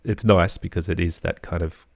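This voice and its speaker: Middle-aged male interview guest, very low creaky voice, thoughtful, earnest, conversational, dry close sound. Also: fairly even intonation, normal speed, a little mellow, no room sound. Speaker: interview guest, podcaster and musician.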